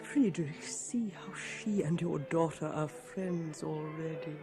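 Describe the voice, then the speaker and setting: A woman's voice: low-pitched, takes it slowly. A woman playing a charming, effusive society lady in a radio drama, with a theatrical, coaxing manner. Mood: delighted. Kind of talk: 1980s radio drama acting. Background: music.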